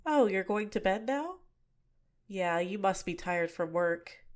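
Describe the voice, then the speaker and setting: A young woman playing a scheming, manipulative villainess in an ASMR roleplay, speaking softly and close to the mic. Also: mid-range voice, lively intonation, slow pace. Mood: shy, apologetic.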